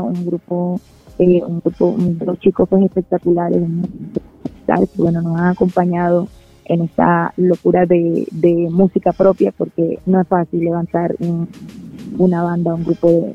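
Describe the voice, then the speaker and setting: A woman's voice: on the low side, completely flat delivery, normal speed, breathy edge, faint music behind. A Venezuelan woman who sings in a band, talking in a casual radio interview, hesitant with many fillers and restarts. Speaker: singer of a band, guest. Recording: tinny phone-like line.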